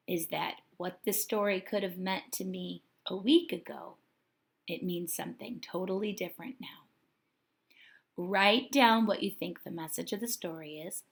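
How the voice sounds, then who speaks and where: A woman teacher reading a storybook aloud and talking to her students in an expressive, deliberate way. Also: mid-range voice. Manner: expressive, deliberate, addressing children directly.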